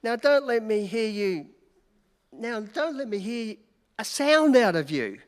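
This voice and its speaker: A man playing a brash, excitable New York newspaper reporter in an old-time style radio play. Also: high voice, unhurried. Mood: brash, bossy. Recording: echoey hall, long reverb.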